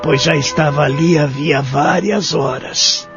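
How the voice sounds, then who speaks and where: A man's voice: fairly high pitch, fairly even intonation, a little slow, close to an everyday voice. An actor playing a lonely, remorseful old man who narrates his life in a care home in an audio soap opera. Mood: narrating. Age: older. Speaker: narrator and lead character of audio drama. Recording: dull lo-fi audio with music behind.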